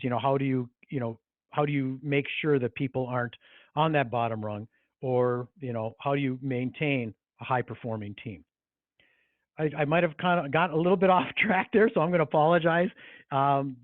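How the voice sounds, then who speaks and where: A man's voice: medium pitch, no room sound. Tinny phone-line man presenting informally. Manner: hesitant, rambling, conversational with frequent "you know". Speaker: HR conference call presenter. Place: remote conference call.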